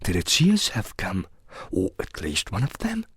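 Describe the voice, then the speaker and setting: A man's voice: sits low, wildly swooping pitch, a little slow, husky, no echo, clean studio sound, full character voice. A man voicing a polite, anxious young girl in a storybook, with a prim, plaintive manner. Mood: musing.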